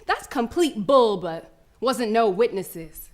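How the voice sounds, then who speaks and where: A woman's voice: on the high side, big pitch swings, slow pace. A woman playing a fierce, cocky preteen American girl runner in a live theatrical monologue, telling her story in brash, slangy street talk. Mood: animated, boastful. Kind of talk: first-person theatrical monologue performed live.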